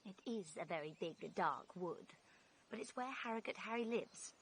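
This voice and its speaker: An actress playing a brisk, forthright young English heroine in a vintage radio drama. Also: female voice, medium pitch, expressive, husky. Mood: brisk, scolding. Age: young adult.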